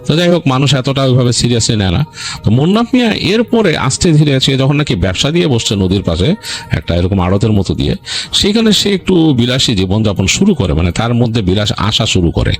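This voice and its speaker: A man's voice: mid-range voice, sing-song, a little fast. A man with a Bangladeshi accent narrates a story informally, in a colloquial, rambling way with explanatory asides. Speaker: ghost story narrator on a radio-style show.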